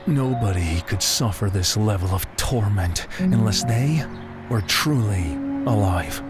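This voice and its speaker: A man narrating a scripted story as an audiobook narrator, deliberate and dramatic in delivery. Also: fairly low pitch, expressive, over loud music.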